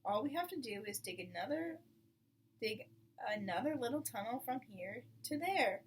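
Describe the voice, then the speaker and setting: A woman's voice: a little high, lively intonation, moderate pace, lightly acted. A woman voicing a confident, crafty father fox in a storybook, with a jaunty, commanding manner. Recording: distant mic with clear background noise.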